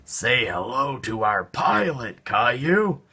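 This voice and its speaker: A kind storybook father, voiced by a man, speaking patiently and reassuringly. He explains things gently and without hurry, in a bright voice. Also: mid-range voice, big pitch swings, slow pace, slightly rough, heavily acted.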